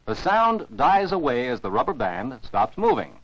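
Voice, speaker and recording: A man's voice: medium pitch, big pitch swings, normal speed, a bit bright, no echo. An American man narrates scripted science for children as an educational film narrator, in a didactic, carefully enunciated way. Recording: dull, dry 1949 film soundtrack.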